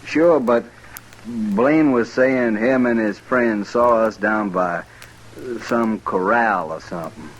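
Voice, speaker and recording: A man's voice: on the low side, slow pace, lo-fi sound, a little hiss or hum. An actor playing a simple, earnest, deferential frontier townsman in an old-time radio western, with a folksy, respectful drawl.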